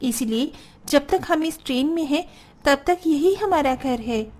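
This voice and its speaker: A woman plays a hopeful young sister in a cartoon family story. The voice is very high and girlish, with a sweet, earnest delivery. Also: expressive, unhurried, a little mellow. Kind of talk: Hindi cartoon family drama voice acting.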